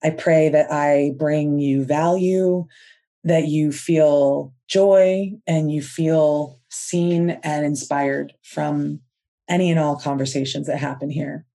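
A woman's voice: deep voice, fairly even intonation, creaky. A woman hosting her own podcast, talking in an earnest, heartfelt way and stretching out the words she stresses. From United States. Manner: earnest, soft-spoken and heartfelt, drawn-out emphasis. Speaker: podcast host. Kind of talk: inspirational podcast monologue.

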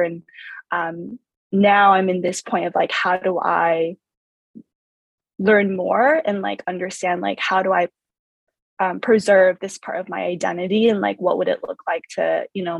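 A thoughtful American woman in her thirties, her voice slightly husky and pitched a little low. She talks through her experiences in a relaxed interview, full of ums and restarts. The sound is close and dry. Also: no room sound. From Southern California. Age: 30s. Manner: reflective, many fillers and restarts. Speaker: guest author. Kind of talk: conversational interview.

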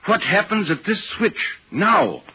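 An actor playing an earnest, concerned gentleman writer in a 1940s radio ghost drama, speaking with measured, deliberate literary phrasing. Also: sits high, sing-song, breathy edge, full character voice. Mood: reassuring. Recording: muffled, worn 1940s radio recording.

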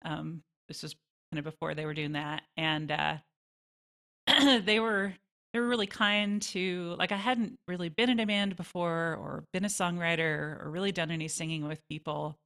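Female interview guest, low creaky voice, informal and chatty delivery. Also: normal speed, fairly bright tone. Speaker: guest songwriter. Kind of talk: casual interview answers.

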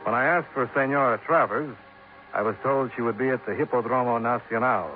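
An actor playing a cool, tough American investigator, clipped and sardonic, in 1950s radio detective style. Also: male voice, medium pitch, fairly quick, loud music behind the voice, lightly acted. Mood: wry, matter-of-fact. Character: cool, wry, tough male insurance investigator. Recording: noisy, narrow-band 1950s radio recording.

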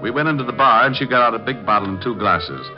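A man's voice: medium pitch, fast talker, over loud music, natural voice. Wry private eye with swooping delivery played by a man. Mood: flirtatious, wry. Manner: deadpan, wry, laconic. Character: suave wisecracking male private detective. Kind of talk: 1950s radio detective drama acting. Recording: noisy, dull vintage radio recording.

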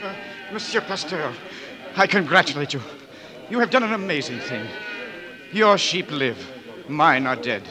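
An actor playing an excitable, contrite and courteous rival, formal and flustered, in a 1940s radio drama. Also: male voice, a little high, unhurried, pauses often. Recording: old radio broadcast, outdoor crowd and sheep.

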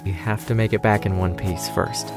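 An actor playing a loyal, caring and determined survivor in a post-apocalyptic audio drama, speaking earnestly and supportively. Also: male voice, low voice, fairly even intonation, normal speed, music in the background.

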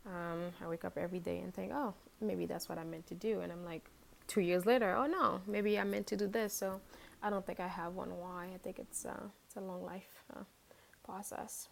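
A young woman from Haiti, a fashion blogger and podcast guest, talking in a relaxed, hesitant way with many fillers. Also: on the low side, normal speed, vocal fry, a little hiss or hum. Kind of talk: casual interview chat.

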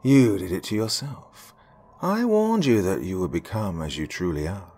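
A man's voice: deep voice, big pitch swings, moderate pace, mellow, husky, heavily acted. A wicked carnival artist who mocks and scolds with theatrical relish, put on by a man. His voice rises and falls widely as he toys with his victim. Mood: mocking. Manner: mocking, stern, theatrical.

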